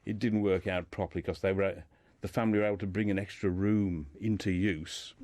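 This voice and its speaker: A man's voice: low-pitched, fairly even intonation, brisk, darkish tone, no room sound. A man speaking as a guest in a radio discussion, telling a life story informally and often repeating words as he builds his sentences.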